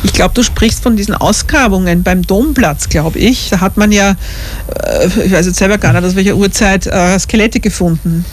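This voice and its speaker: A woman's voice: mid-range voice, moderate pace, a little hiss or hum. A woman with an Austrian accent hosting a casual live radio interview in a studio, chatting and laughing easily with guests. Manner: chatty, laughs often, warm questions. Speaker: radio host. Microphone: studio microphone.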